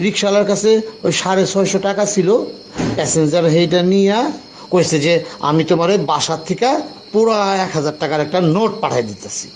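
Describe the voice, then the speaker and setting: A man's voice: a little high, a little hiss or hum, lightly acted. A man playing a bossy, self-important building watchman in an audio drama, talking in colloquial Dhaka Bangladeshi speech. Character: bossy building night watchman, blustering at underlings, deferential to superiors.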